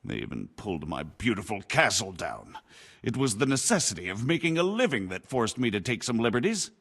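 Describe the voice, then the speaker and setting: Game cutscene: a wheedling jailed knight, low voice, very lively pitch. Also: male voice, a little fast, creaky, husky, full character voice. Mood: sly. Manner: sly, wheedling, self-pitying. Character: scheming imprisoned robber knight, older man. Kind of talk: video game cutscene acting.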